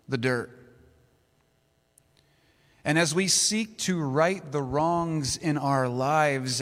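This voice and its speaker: A man delivers a sermon in a slow, measured cadence, reading scripture passages aloud. His voice sits a little high for a man. It carries through a church sanctuary with a long reverb. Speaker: pastor. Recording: long reverb of a large hall.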